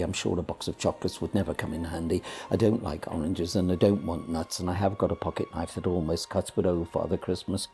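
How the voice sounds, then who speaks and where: A man's voice: deep voice, creaky, dark tone, pitched below the performer's own voice. A man voicing a lonely, childlike storybook king who pleads earnestly for presents in sing-song verse.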